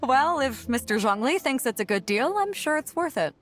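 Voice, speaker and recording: A woman's voice: sits high, very animated, normal speed. A woman playing a breezy, confident, big-hearted ship captain in a video game, casual and outgoing. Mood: breezy, generous. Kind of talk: scripted video game dialogue acting.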